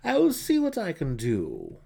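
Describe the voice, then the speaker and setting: A man acts out a sly male dark elf mercenary in a fantasy audiobook. The voice sits high and swings widely, smooth-talking and theatrical, with a flamboyant air. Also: huge pitch swings, measured pace, full character voice. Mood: smug, at ease.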